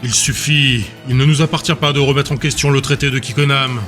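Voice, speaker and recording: A man's voice: fairly even intonation, a little fast, fairly bright tone, close to an everyday voice. A man voicing a stern, authoritative council president with a strong voice and a formal, impatient manner in an audio drama. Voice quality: strong booming voice. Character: stern, commanding, scarred male council president. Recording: music bed behind the voice.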